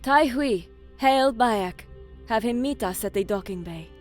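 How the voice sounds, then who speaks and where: A woman playing a shrewd, guarded alien commander in a science fiction audio drama, speaking in a measured, formal way. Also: fairly high pitch, expressive, faint music behind. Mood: guarded, suspicious.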